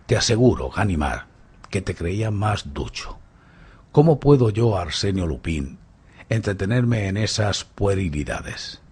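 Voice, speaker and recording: A man playing a suave, playful, boastful gentleman thief in a radio drama, with mocking, grandly courteous theatrical delivery. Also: lowish voice, breathy edge. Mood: condescending, theatrical.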